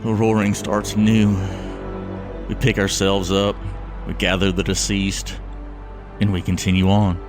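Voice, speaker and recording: A man voicing a deep-voiced, solemn doomsday worshipper. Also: flat delivery, lightly acted. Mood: grim reverence. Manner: solemn, measured, theatrical. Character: fervent, fatalistic last survivor worshipping a machine god. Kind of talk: dramatic first-person monologue in audio drama. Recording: scary music behind the voice.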